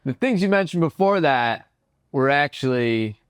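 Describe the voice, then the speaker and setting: A man's voice: mid-range voice, lively intonation, normal speed, smooth, no echo, studio quality. A man hosting an interview, prompting his guest with short encouraging questions. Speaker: interview host. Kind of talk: casual interview. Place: convention floor.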